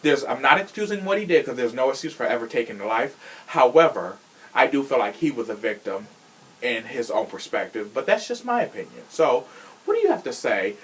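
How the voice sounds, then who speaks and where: A man hosts a chatty, informal podcast with plenty of sass. His pitch moves around freely and animatedly, and his delivery is theatrical and conversational. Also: big pitch swings, a little fast, fairly bright tone, low-quality recording. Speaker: podcast host. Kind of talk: casual chatty podcast talk.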